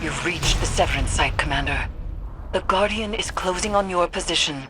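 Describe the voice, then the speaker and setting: Actress doing a solemn ominous mystic lady giving game mission guidance, loud music underneath. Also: fairly low pitch, normal speed, natural voice. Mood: ominous, warning. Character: grave cryptic mystic woman guiding a fighting team. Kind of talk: dramatic video game acting.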